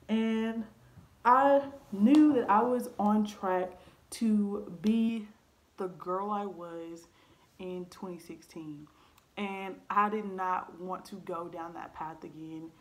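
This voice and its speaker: Young woman, around twenty, vlogger, candid, earnest, reflective, camera at home. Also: mid-range voice. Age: 20s. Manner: earnest, blunt and reflective, with frequent ums and likes. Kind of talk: candid personal storytelling to camera. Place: living room at home. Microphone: camera in front at desk height.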